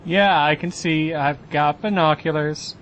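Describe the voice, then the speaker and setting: A man's voice: fairly high pitch, steady tone, measured pace, fairly bright tone, lo-fi sound, a little hiss or hum. A man playing an exasperated brother on lookout, bantering conversationally in an audio comedy drama. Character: exasperated magic-using brother acting as lookout.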